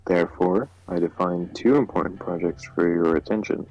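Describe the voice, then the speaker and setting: A man's voice: sits low, normal speed. A man voicing a formal government minister who briefs his president in a political game, recorded in dull lo-fi audio. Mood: earnest, businesslike. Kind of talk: amateur voiced read of game dialogue.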